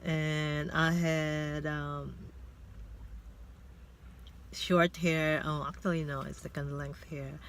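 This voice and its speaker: A woman in her fifties, a Tagalog speaker, telling a personal story in a casual vlog. She talks in a hesitant, reflective way and pauses often as she thinks aloud. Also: sits low, flat delivery, slight background noise. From Philippines. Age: 50s. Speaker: vlogger. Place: inside a car.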